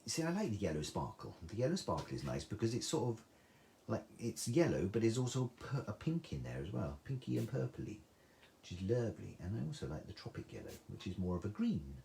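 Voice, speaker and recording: A man's voice: fairly low pitch, very animated, a little fast, creaky, mellow, a little hiss or hum. A man with a soothing voice, chatting through a live craft tutorial and reading out viewer comments. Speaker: craft demonstrator.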